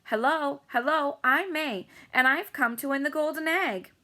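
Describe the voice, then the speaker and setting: A woman voicing a bossy, pushy little girl in a storybook read-aloud, loud and eager. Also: sits high, unhurried, voice pushed up.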